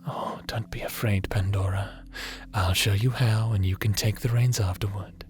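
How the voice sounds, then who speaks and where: A man playing a gentle, fatherly creator god with a hidden weariness in a scripted audio roleplay, soft-spoken and deliberate, sometimes slipping into a whisper. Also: fairly low pitch, steady tone, soft background music, natural voice. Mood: tender, doting. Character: gentle fatherly creator god, tender mentor, secretly haunted and weary.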